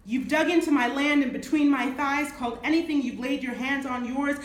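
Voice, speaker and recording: Woman, poet, spoken word, high voice, emphatic rhythm, echoey small room, far camera. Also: fairly quick. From Nigeria. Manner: rhythmic, emphatic, gesturing while performing. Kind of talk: spoken word poetry reading. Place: small room with bookshelves, at a lectern.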